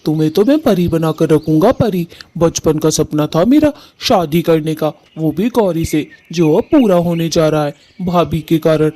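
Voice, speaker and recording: A woman acts out a young male cartoon bird, a lovestruck groom-to-be with a dark, soft-edged voice. He sounds earnest and eager, quick to plead and flatter. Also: deep voice, voice pushed down. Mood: devoted. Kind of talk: cartoon story voice acting.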